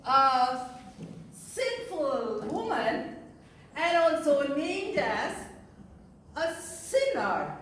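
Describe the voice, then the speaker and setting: A woman acts out a lonely outcast sinner woman in a stage monologue, very high-pitched and pleading. She speaks slowly and theatrically, her voice ringing through a very echoey room. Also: a bit bright, exaggerated voice. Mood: confessional. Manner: theatrical, emotional, emphatic pauses and rhetorical questions. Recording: echoey room, distant mic, faint noise.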